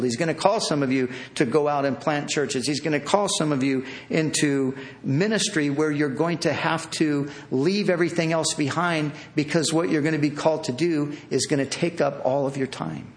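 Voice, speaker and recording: Man preaching, earnest, pleading, repeats himself, slightly high voice. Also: moderate pace, few pauses. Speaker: pastor. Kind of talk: sermon. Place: church hall with an audience.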